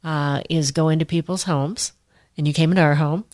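A woman's voice: low voice, steady tone, a little fast, darkish tone, no echo, clean studio sound. A woman hosting an interview podcast, affirming her guest with frequent mm-hmms and kind words. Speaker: podcast host and coach.

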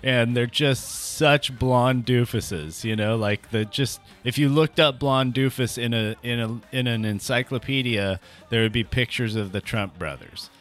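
A man's voice: mid-range voice, a little slow, hardly any pauses, a bit bright. A man in his early forties co-hosting a comedy show with casual, wry, teasing banter. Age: early 40s.

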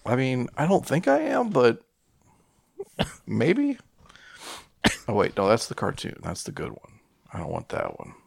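A man's voice: medium pitch, wildly swooping pitch, a little slow, creaky, long pauses, dry recording, studio quality. A man co-hosting a podcast, bantering casually about movies in slangy, foul-mouthed talk. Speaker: podcast co-host.

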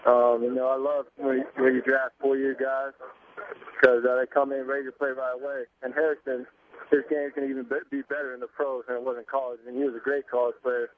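A young man calling in to a radio interview by phone, relaxed and laid-back with many fillers. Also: medium pitch, a little fast. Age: young adult. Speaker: basketball player calling in. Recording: tinny phone line.

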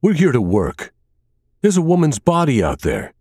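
A man voicing a feisty, teasing female police detective in an audiobook, brisk, blunt and wry. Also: mid-range voice, huge pitch swings, darkish tone, husky, dry recording, voice pushed up. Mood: annoyed. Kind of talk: audiobook character dialogue.

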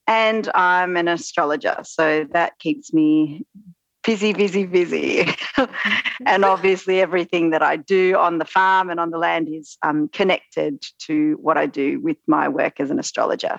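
Australian woman, lowish voice, dull call sound. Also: no echo. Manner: modest, warm, with hesitant fillers. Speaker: guest astrologer. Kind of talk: podcast interview.

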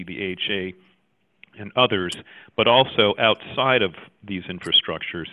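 A middle-aged male cardiologist from Jamaica, raised in Canada, answering interview questions thoughtfully, with many fillers and restarts. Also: on the low side. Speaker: cardiologist guest. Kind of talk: interview conversation. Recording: tinny phone-like line.

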